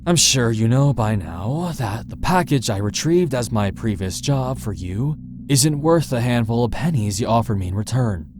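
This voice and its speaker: A man's voice: medium pitch, animated, normal speed, lightly acted. A man plays a guarded, businesslike mercenary from a visual novel. His mid-range voice is cool, firm and terse, with distinct noise behind it. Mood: bargaining. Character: guarded, businesslike male mercenary errand runner.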